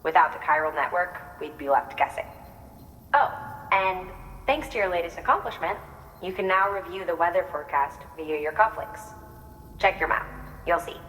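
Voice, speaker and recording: An actress playing a composed scientist in a video game, briefing a courier in a conversational, explanatory way. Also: female voice, lowish voice, lively intonation, moderate pace, fairly bright tone. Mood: informative, encouraging. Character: composed female lab scientist guiding a courier. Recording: reverberant hall sound, faint noise.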